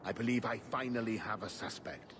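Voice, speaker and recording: A man's voice: fairly low pitch, brisk, lightly acted. A man playing a dogged investigator in a video game, serious and urgent in his delivery. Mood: urgent, frustrated. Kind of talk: video game cutscene acting. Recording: noisy street ambience.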